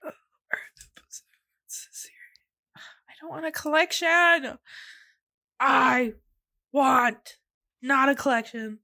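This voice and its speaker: A woman's voice: sits high, slow, gravelly, long pauses, pushed and bright, no room sound. A woman co-hosting a podcast in casual chat, with a playful, informal and exclamatory way of talking. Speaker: podcast co-host.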